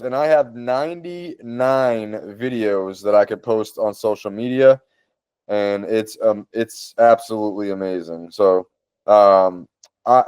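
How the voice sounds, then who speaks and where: A man's voice: mid-range voice, a little mellow, smooth, dry recording. A man with a Boston accent, a podcast host walking through social media tools in casual talk. He speaks with enthusiasm and repeats words for emphasis.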